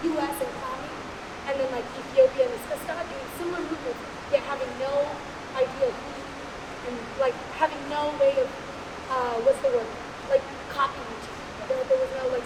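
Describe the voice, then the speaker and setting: A young woman from Los Angeles on a dance panel, answering candidly in loose, rambling talk full of fillers in front of a live audience. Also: very high-pitched. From Los Angeles, USA. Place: room before a live audience. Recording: echoey room with steady hiss.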